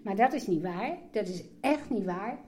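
A woman's voice: mid-range voice, lively intonation, moderate pace, darkish tone. An older woman recalling things casually, hesitating as she searches for words. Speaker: host's mother, interviewee. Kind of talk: casual personal recollection.

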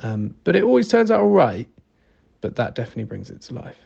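A man's voice: mid-range voice, big pitch swings, fast talker, creaky, warm tone, breathy edge, highs cut off. A British man, a guest contributor, chatting casually and reflectively about his own experiences.

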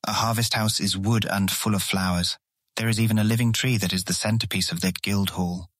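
An actor playing an earnest, awkward and easily charmed guild guard in a fantasy audio drama, eager to please. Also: male voice, fairly low pitch, fairly even intonation, fairly quick, a bit bright, no room sound, clean studio sound. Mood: proud, eager.

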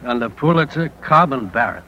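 A man's voice: medium pitch, lively intonation, fairly quick, sharp tone. An actor playing an older, seasoned newspaperman in a 1950s radio drama, speaking with dry, laconic, fatherly stoicism. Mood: stoic, wry. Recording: dull, noisy vintage radio recording.

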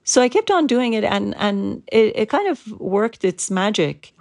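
A woman from Iran with an Iranian accent, Persian as her first language, speaking as an interviewed writer in a measured, thoughtful way with pauses. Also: mid-range voice, very animated, creaky. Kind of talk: reflective interview.

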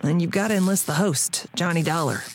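A woman's voice: fairly low pitch, brisk, a little hiss or hum, natural voice. A woman playing a world-weary, plain-spoken radio tinkerer with a folksy frontier manner in an audio drama. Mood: knowing, practical.

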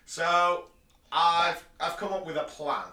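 A man's voice: a little high, slow pace, pushed and bright. A man co-hosting a podcast, stuffed up from hay fever, joking dryly and sarcastically. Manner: dry, sarcastic humour. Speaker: podcast co-host. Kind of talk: casual podcast chat. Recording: echoey room, distant from the mic.

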